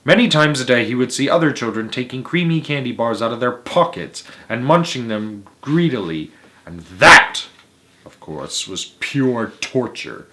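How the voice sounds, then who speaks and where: A man narrating a children's storybook aloud in a theatrical, emphatic way, with dramatic pauses and stretched words. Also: medium pitch, lively intonation, bright, forceful tone, a little hiss or hum. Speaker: host of a read-aloud story series.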